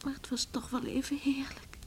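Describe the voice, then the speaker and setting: A woman's voice: high-pitched, little pitch movement, normal speed, in a whisper, close to an everyday voice. An actress playing a headstrong, troubled young village woman in a radio play, theatrical and emotional. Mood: troubled brooding. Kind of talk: Dutch radio play acting. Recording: noisy old radio drama recording.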